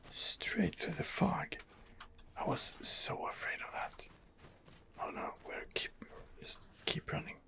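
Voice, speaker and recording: A man hosting an ASMR game playthrough, muttering hesitant commentary in a breathy whisper. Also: medium pitch, pushed and bright. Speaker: gaming video host.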